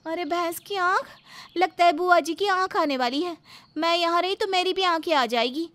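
A woman's voice: very high-pitched, moderate pace, background noise, pitched above the performer's own voice. A woman voicing a scheming, self-centred young daughter-in-law in a cartoon story, with exaggerated dramatic delivery. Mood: sly, annoyed.

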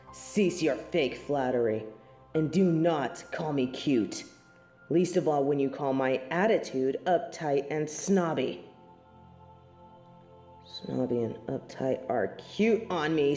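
A woman's voice: fairly low pitch, dark tone, reverberant. A woman playing a snobby, haughty female angel in an audio roleplay, speaking in a pompous, scornful, formal way. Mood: haughty disdain. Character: snobby, haughty tsundere female angel guarding heaven's gates. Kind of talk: scripted audio roleplay acting.

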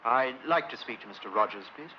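An actor playing a polite, hurried investigator asking questions in a 1950s film drama. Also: male voice, mid-range voice, animated, lightly acted. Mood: polite, urgent. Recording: muffled, hissy old film soundtrack.

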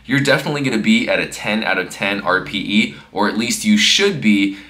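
A man's voice: fairly low pitch, expressive, fairly quick, sharp tone. A man in his thirties giving casual workout instruction in an upbeat coaching tone, from a living room and then a gym. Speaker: fitness vlogger.

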